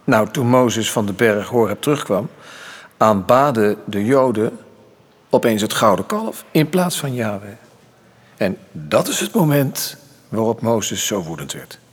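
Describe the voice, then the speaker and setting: A man's voice: fairly low pitch, very animated, measured pace, slightly rough, heavily acted. A man playing a weary, learned middle-aged father in a radio drama, with a hesitant, explanatory way of talking. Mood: lecturing.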